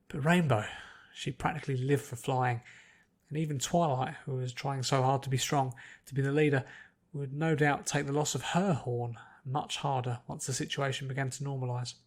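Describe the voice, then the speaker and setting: A man reading scripted fantasy fiction aloud as its narrator. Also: mid-range voice.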